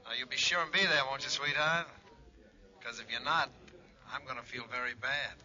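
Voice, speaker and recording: An actor playing a sly, teasing American ex-convict in an old-time radio drama, heard through a telephone filter with drawn-out phrasing. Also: male voice, medium pitch, bright, forceful tone. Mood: veiled threat. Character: taunting, smooth ex-convict calling an old flame. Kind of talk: 1940s radio drama acting. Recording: dull, thin old radio phone-call sound.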